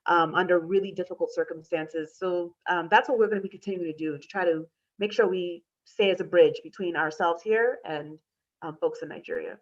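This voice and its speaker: A woman's voice: lowish voice, brisk. An American woman in her thirties explaining her community work as a panelist on a video call. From United States. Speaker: panelist, community organization founder. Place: room indoors, video call. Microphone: computer mic on a video call. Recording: slightly dull video-call audio.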